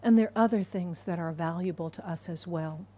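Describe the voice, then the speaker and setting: A woman's voice: fairly low pitch, fairly quick, mellow, slightly rough. A woman from the United States preaching a sermon, emphatic and repeating her key phrases for the congregation. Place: church in Hong Kong.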